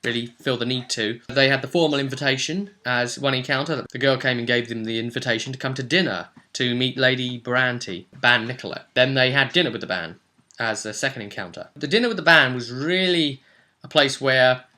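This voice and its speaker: A man hosting as game master, casually recounting a role-playing session with emphatic, animated storytelling. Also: mid-range voice, brisk. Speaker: game master and vlog host.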